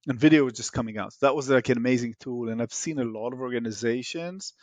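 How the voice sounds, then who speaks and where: A man's voice: mid-range voice, quick pace, dry recording. A man from Israel with an Israeli accent, a startup founder explaining his product thoughtfully in an interview, with frequent fillers and analogies. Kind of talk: conversational interview.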